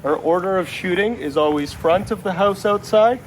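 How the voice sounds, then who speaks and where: A man's voice: high voice, normal speed, a little hiss or hum, poor audio. A man working as a videographer outdoors, giving brief, easygoing replies in casual chat. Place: outdoors at a house.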